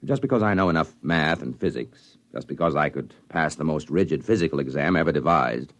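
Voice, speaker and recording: A deep gravelly confiding astronaut. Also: male voice, lively intonation, fairly bright tone, no echo, full character voice. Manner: measured, confiding. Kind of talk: 1950s radio drama acting.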